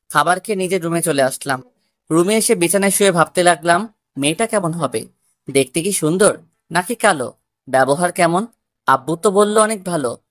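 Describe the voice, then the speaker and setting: Woman, story narrator, slightly low pitch, scripted first-person narration, very dry sound. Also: no echo.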